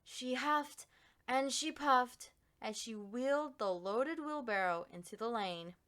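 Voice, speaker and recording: A woman reading a children's picture book aloud as an expressive storyteller with dramatic, deliberate emphasis. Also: on the high side.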